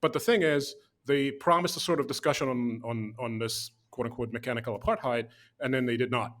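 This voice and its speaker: A man co-hosting a casual video chat about games, talking at length and acting out quotes in a raised voice. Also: a little fast. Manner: animated, acts out quotes in a higher voice. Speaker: podcast co-host.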